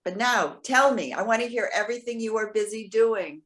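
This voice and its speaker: A woman's voice: fairly high pitch, normal speed, fairly bright tone, natural voice. A woman playing a hopeful, lovestruck elderly woman in readers theater, reading and composing love messages aloud. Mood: tender, reading aloud. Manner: reads and composes messages aloud with murmured asides. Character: lonely, hopeful, romantic elderly woman writing love emails.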